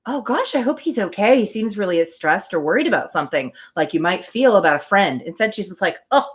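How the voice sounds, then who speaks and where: A woman's voice: mid-range voice, very animated, fairly quick. A woman hosting a live reading of a novel, reading aloud with chatty, wry asides. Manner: chatty, wry commentary between passages.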